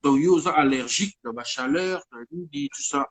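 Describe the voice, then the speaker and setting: A man with a husky, slightly high voice talks loosely in Congolese-accented French, nursing a head cold. He rambles from thought to thought and drops Lingala words into his sentences. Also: normal speed, fairly bright tone. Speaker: guest musician. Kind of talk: casual interview talk.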